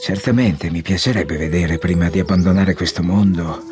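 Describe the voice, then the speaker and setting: An actor in an audiobook drama playing an ailing, proud old general, commanding and grandiloquent in manner. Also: male voice, deep voice, normal speed, close to an everyday voice. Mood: moved, weary. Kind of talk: audiobook radio drama acting. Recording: music behind the voice.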